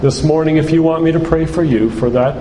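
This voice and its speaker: A man leading a church altar call and prayer, speaking earnestly in short phrases with pauses, recorded live in a church. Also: on the high side, big-room sound, noisy background.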